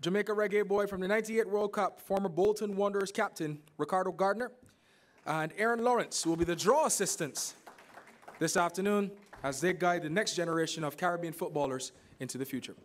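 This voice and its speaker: A man's voice: high voice, a little fast. A man from Barbados acting as master of ceremonies, introducing speakers at a formal event in a polished, announcing way.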